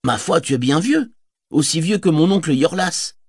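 A man voicing a proud, eager ten-year-old boy, a guard's son, who talks excitably and boastfully in an audiobook reading. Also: on the high side, normal speed, vocal fry, husky, no room sound, studio quality, pitched above the performer's own voice, full character voice. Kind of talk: audiobook character voice.

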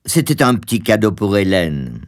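A man voicing a stiff, controlling older husband in a storybook, with a formal, fussy, unhurried manner. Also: on the low side, very animated. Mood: fussy, puzzled.

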